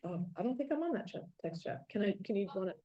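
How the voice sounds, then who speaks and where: A woman's voice: medium pitch, lively intonation, fast. A woman organizing a memorial gathering, thanking guests in unscripted remarks with many fillers and restarts, recorded at an event venue. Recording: dull lo-fi sound.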